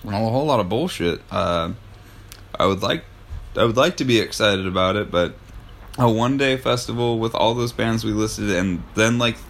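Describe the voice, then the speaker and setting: A man's voice: lowish voice, normal speed, fairly bright tone. A man co-hosting a podcast in casual chat, with a sardonic, swearing, easily amused manner. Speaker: podcast co-host.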